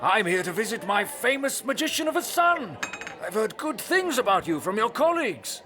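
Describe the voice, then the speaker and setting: A man's voice: high-pitched, big pitch swings, moderate pace. An actor playing a genial, hearty middle-aged father of a prince in an audio drama, with a folksy, doting, musing way of talking. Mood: jovial, fond.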